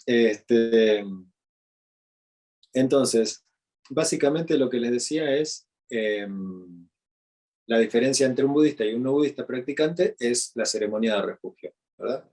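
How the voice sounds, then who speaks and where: A man with an Argentine Spanish accent giving an unscripted talk as a meditation teacher, speaking slowly with frequent fillers and restarts. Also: mid-range voice, darkish tone.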